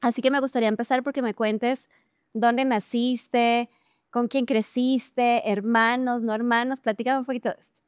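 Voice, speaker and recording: A middle-aged woman hosts a podcast with effusive enthusiasm. Her voice sits on the higher side and sounds smooth and clean. It is recorded close and dry, with no room around it. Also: fairly even intonation, moderate pace, dry recording. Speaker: podcast host. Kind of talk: interview conversation.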